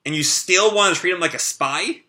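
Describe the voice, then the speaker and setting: A man reading aloud a manga page, voicing a hotheaded teenage boy ninja who shouts and protests. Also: high voice, moderate pace, pitched above the performer's own voice. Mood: indignant. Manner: shouting, indignant.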